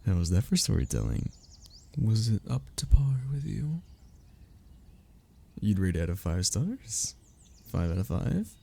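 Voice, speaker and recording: A man's voice: on the low side, very animated, unhurried, creaky, pauses often, mellow, breathy edge, heavily acted. A man playing a sentimental, teasing young man in an ASMR roleplay, talking intimately and conversationally to a childhood best friend. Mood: playful teasing. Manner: intimate, conversational, with sighs and chuckles. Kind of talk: ASMR roleplay audio drama.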